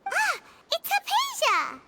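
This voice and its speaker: Tiny fairy sidekick, extremely high squeaky voice, swooping intonation, excitable, blunt, game character, music behind. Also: female voice, takes it slowly, very noisy, heavily acted. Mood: excited. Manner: exclamatory, refers to self in third person. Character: small excitable floating fairy girl companion, expressive and blunt. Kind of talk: video game character acting.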